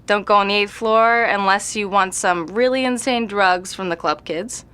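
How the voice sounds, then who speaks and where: An actress playing an intense, abrasive composer with grand ideals, sardonic and casually profane, in a naturalistic audio drama. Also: female voice, mid-range voice, normal speed, pushed and bright, clean tone, lightly acted. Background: music playing in the room.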